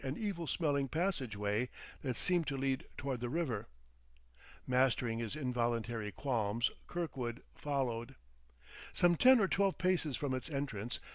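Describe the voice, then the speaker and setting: A man reading vintage detective fiction aloud as an audiobook narrator, in a measured, literary manner. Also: medium pitch, no echo.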